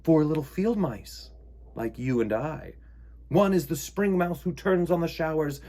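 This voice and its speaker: A man's voice: a little high, measured pace, dark tone, pitched above the performer's own voice. A man voicing a dreamy little poet mouse from a children's storybook, declaiming verse as if on a stage. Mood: theatrical, grand.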